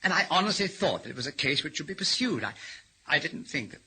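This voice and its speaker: A middle-aged newspaperman haunted by guilt. His voice is fairly high and very sharp and forceful, faltering and repeating itself, on a slightly hissy old radio recording. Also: sing-song, full character voice. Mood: troubled. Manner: halting, repetitive, overwrought. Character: haunted, guilt-ridden newspaperman cracking under pressure. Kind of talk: radio drama acting.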